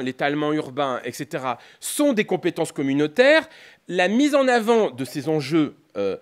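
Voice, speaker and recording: A man giving an analytical, semi-improvised academic lecture, often hesitating, breaking off and rephrasing as he explains. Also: sits high. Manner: hesitant, repeatedly breaking off and rephrasing, punctuated with rhetorical tags. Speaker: political scientist, university lecturer.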